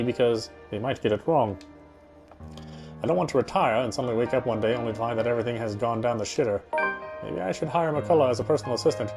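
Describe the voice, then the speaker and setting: Man, dramatic first-person narration, visual novel read-aloud, medium pitch, loud music underneath.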